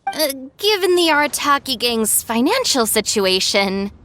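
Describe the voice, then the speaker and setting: Game gang minder: sensible, exasperated young woman, fairly high voice, expressive pitch, faint noise. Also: a little slow, heavily acted. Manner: sighing, cautious, orderly. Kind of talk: animated video game voice acting.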